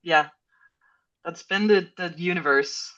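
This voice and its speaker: A man's voice: on the high side, takes it slowly, pushed and bright, lo-fi sound. A man from Denmark, a band member guest, talking in a laid-back, casual way on a podcast. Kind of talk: casual interview chat.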